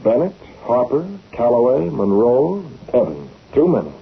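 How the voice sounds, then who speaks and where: Animated, authoritative publisher, vintage radio. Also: male voice, medium pitch, moderate pace, creaky, husky, full character voice. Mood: matter-of-fact, cynical. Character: principled newspaper publisher, confident, crusading man. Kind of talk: 1940s radio acting. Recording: old, noisy, narrow-band radio recording.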